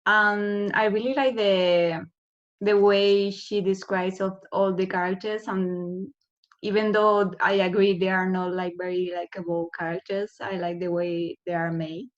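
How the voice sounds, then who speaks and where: A woman's voice: medium pitch, little pitch movement, unhurried. A woman casually moderating a book club discussion over a video call, a little hesitant. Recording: slightly dull video call audio.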